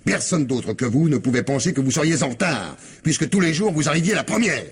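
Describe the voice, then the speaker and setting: An actor playing a shrewd, methodical police commissioner in a French radio crime drama, with a husky voice and an insistent manner. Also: male voice, on the high side, expressive, fairly quick. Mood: insistent, accusing. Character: shrewd, relentless male police commissioner making accusations. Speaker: actor in an audio mystery play.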